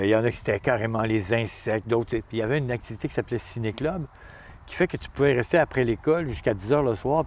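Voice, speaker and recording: A man's voice: mid-range voice, darkish tone, a little hiss or hum. Chatty man with a Montreal accent. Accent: Montreal Quebec French. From Montreal, Quebec. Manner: chatty, informal, repeats himself for emphasis. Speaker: interview guest, cinematographer. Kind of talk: casual, anecdotal interview talk. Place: outdoors in the Gaspé region.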